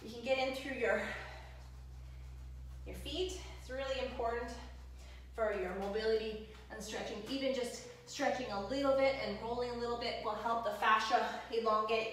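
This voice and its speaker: Young female fitness instructor, breezy and encouraging, slightly high-pitched, camera mic set back in a reverberant home gym. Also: moderate pace, a bit bright. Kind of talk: instructional workout voice-over and product demo. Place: home gym room with bare walls. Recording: echoey room, voice from a distance.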